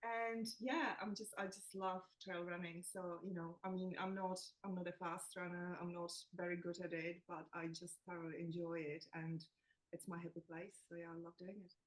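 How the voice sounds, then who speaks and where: A woman's voice: fairly low pitch, level tone, normal speed, rough recording. A woman from the Czech Republic, a nutritionist guest in a podcast interview, chatty and self-deprecating, laughing as she talks. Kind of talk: casual interview chat.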